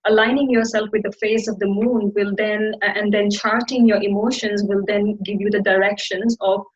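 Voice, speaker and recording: A woman's voice: mid-range voice, level tone, normal speed, husky. Woman guest explaining, dull lo-fi sound. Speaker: podcast guest, teacher on women's cycles. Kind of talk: explanatory conversational interview answers.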